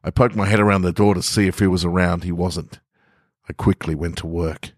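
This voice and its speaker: Low voiced aussie guy reading stories on a podcast, bit of a head cold, close n dry. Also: steady tone, fairly quick, dry recording. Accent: Australian. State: getting over a head cold. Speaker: podcast host and story reader. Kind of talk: scripted story narration with casual introduction.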